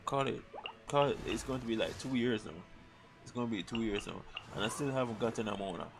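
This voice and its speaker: A man's voice: mid-range voice, a little fast, gravelly. A young man giving casual livestream game commentary, rambling and slangy as he thinks aloud. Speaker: game streamer. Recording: noisy livestream mic.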